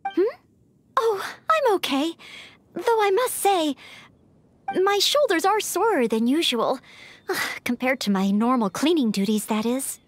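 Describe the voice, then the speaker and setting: A woman's voice: high-pitched, lively intonation, measured pace, no echo. A woman playing an earnest, eager and polite young maid training as a knight in a video game, with a sweet girlish voice. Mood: bashful, earnest.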